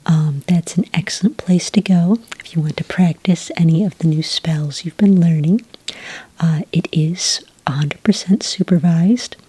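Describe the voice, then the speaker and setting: A friendly enrollment witch, played by a woman, whispers her explanations in a deep, breathy hush. Her tone is mellow and the sound is dry and close, with chatty little pauses and ums. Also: no room sound, full character voice, rough recording. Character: friendly adult female enrollment witch clerk. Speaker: ASMR roleplay host. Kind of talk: ASMR roleplay.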